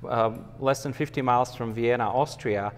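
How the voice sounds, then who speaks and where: A man's voice: mid-range voice, moderate pace, long reverb tail, faint music behind. A man in his forties from Slovakia with a Slovak accent, answering interview questions thoughtfully with many hesitations. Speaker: conductor, interview guest. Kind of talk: conversational interview. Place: empty hall with a stage.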